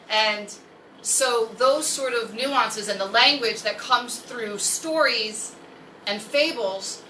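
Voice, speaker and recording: A woman's voice: on the high side, bright, forceful tone. An American woman hosting a casual, chatty livestream story time, rambling with jokey asides and reading aloud. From United States. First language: English. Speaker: host of a live story-time stream. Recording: roomy echo, distant mic, faint noise.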